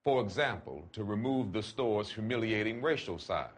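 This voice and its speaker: Man giving a solemn, measured reading. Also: fairly low pitch, lively intonation. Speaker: reader of a letter.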